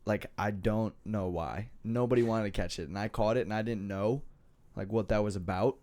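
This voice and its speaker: A man's voice: on the low side, normal speed, a little mellow. A young man hosting a podcast, talking in a loose, slangy, rambling way with a stuffy nose. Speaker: podcast host. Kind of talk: casual chat.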